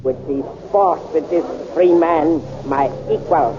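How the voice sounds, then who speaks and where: An actor playing a cruel alien emperor in a 1930s radio drama, commanding and mocking, on an old hissy recording. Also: male voice, on the high side, a little slow, dull audio, natural voice.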